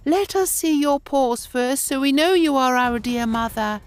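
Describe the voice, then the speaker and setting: Woman playing high-pitched, childlike little storybook goats. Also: lively intonation, unhurried, clean tone. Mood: wary. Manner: high, childlike, emphatic delivery. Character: brave, wary little goat children.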